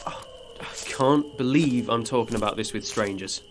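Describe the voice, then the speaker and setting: A man's voice: medium pitch, normal speed, music in the background, natural voice. An actor playing an earnest, stubborn young man with a hesitant manner, in an audio drama. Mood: uneasy, downcast. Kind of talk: naturalistic audio drama acting.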